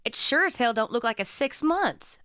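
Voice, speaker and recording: Blunt, protective sister, played by a woman, higher-pitched. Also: expressive, normal speed, sharp tone, smooth, no room sound, clean studio sound. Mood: blunt, skeptical. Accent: American. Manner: blunt, no-nonsense, probing. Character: blunt, protective adult sister, Texan mother. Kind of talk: naturalistic radio drama dialogue.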